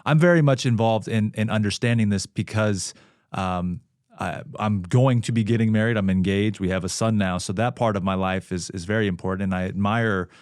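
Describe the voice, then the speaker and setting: A man hosting an interview. He asks long, reflective questions and pauses with fillers. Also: on the low side, a little mellow, no echo, studio quality. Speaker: podcast host. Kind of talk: casual interview.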